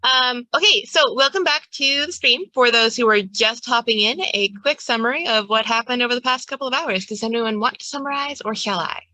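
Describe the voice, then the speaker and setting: A woman's voice: fairly high pitch, fairly quick, hardly any pauses, pushed and bright, no echo. A woman game master giving a casual, playful and wryly hinting recap of a tabletop game on a livestream.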